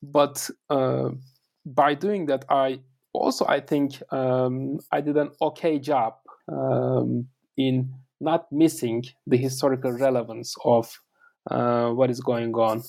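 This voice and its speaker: A man from Turkey, a native Turkish speaker with a Turkish accent, answering as an interview guest in a conversational, halting way that repeats words while searching for phrasing. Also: mid-range voice, steady tone, gravelly, a little mellow. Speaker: interview guest, author and academic.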